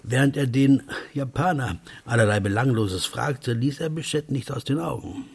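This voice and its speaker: An actor playing a wry narrator of a wicked underworld tale in a literary radio play, his voice rough and his delivery savouring. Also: mid-range voice, moderate pace. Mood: dry, savouring.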